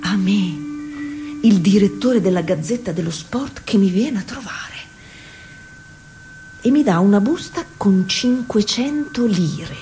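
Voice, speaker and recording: A woman's voice: mid-range voice, animated, slow pace, long pauses, mellow, slight background noise. A woman playing a proud, stubborn Italian racing cyclist of the early twentieth century in an emphatic, colloquial theatre monologue. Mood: proud, defiant. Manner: emphatic, colloquial storytelling addressed to an absent listener.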